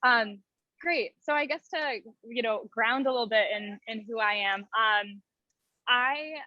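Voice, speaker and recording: A woman's voice: on the high side, a little slow, dry recording, lo-fi sound. A young woman in her twenties, giving a casual talk over a video call, chatty and full of fillers and restarts. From Thailand and Minnesota. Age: 20s. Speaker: guest speaker. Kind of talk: casual presentation.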